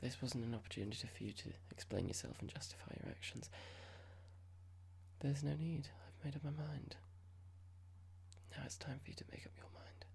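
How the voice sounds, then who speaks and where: Whispering, creaky, condescending boss, acted for ASMR. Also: medium pitch, darkish tone, close to an everyday voice. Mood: dismissive, condescending. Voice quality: breathy whisper with creaky fry. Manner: slow, deliberate, condescending, mock-polite. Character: cold, smug, passive-aggressive corporate boss.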